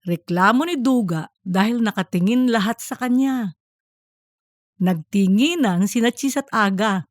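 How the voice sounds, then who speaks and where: A woman's voice: mid-range voice, measured pace, no room sound, studio quality. A woman narrating a fictional story from a script, like an audiobook.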